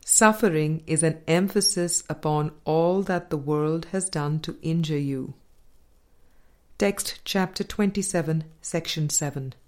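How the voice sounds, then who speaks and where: A woman reading a spiritual self-help audiobook in a measured, deliberate way. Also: deep voice. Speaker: audiobook reader.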